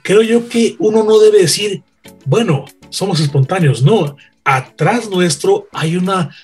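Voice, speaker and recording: A man's voice: high voice, animated, a little slow, darkish tone, slightly rough, faint music behind. A man, a radio veteran chatting and telling anecdotes emphatically, repeating phrases for effect. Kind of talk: animated anecdote-telling in a panel chat.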